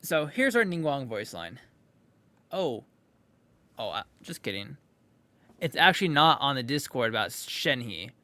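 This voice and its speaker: A man's voice: mid-range voice, very animated, measured pace, pauses often, sharp tone, no echo. A man hosting a video in a casual, rambling, informal reaction commentary style. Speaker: video host commenting on game voice lines.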